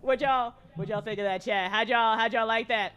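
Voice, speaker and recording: A woman hosting a livestream chat in an animated, enthusiastic and slangy way, swearing freely. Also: on the high side, normal speed, clear, resonant tone. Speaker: host of a livestream talk.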